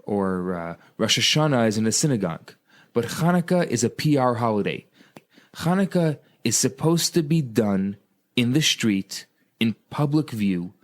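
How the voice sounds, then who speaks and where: A man's voice: mid-range voice, lively intonation, normal speed. A man, a rabbi explaining earnestly in a radio interview, using emphatic repetition. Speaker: rabbi and co-host.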